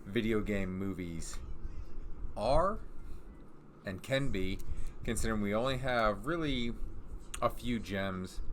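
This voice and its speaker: A man's voice: low-pitched, a bit bright, a little hiss or hum. A man hosting a casual, unscripted countdown video at home, rambling and correcting himself as he goes. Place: room at home.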